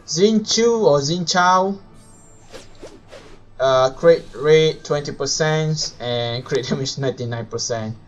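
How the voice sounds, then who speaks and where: Slow-talking man live streaming a game. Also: fairly high pitch, dull audio, soft background music. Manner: hesitant, rambling, self-correcting. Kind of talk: casual live stream commentary.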